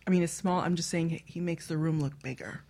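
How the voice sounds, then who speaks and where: Woman host, halting casual talk. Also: deep voice, warm tone. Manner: halting, searching for words. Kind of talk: casual explicit panel chat.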